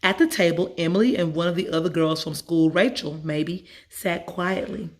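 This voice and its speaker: A woman's voice: lowish voice, normal speed. A woman storyteller narrating a children's story from a script.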